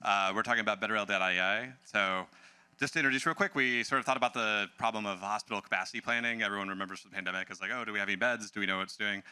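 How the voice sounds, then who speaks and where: Fast-talking man, very bright crisp voice. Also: lowish voice, steady tone. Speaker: hackathon team member introducing project. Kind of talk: informal presentation introduction.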